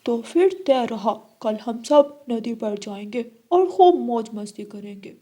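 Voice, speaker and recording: A woman's voice: a little high, lively intonation, a little slow, mellow. A woman voicing a cheeky young schoolboy crow in a cartoon, whiny and eager in a childlike way. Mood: scheming.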